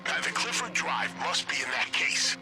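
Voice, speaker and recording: A man voicing a gruff government agent handler who briefs and directs a field operative with dry sarcasm, in video game dialogue with loud music behind. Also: medium pitch, sing-song, moderate pace, close to an everyday voice. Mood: tactical. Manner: dry, sarcastic briefing with bursts of urgent orders. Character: gruff male federal agent handler, sardonic and commanding. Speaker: government agency handler giving mission orders.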